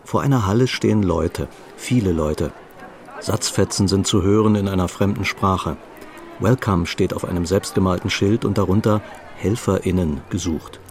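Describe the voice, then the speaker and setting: A man's voice: sits low, normal speed, darkish tone, natural voice. A man reading scripted story narration for a German radio play.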